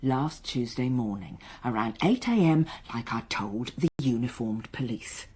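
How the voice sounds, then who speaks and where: An actress in a radio drama playing a strained, defensive schoolteacher daughter being questioned by police, halting and bristling with emotion. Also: female voice, a bass voice, expressive, darkish tone, heavily acted. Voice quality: husky. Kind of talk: naturalistic radio drama dialogue.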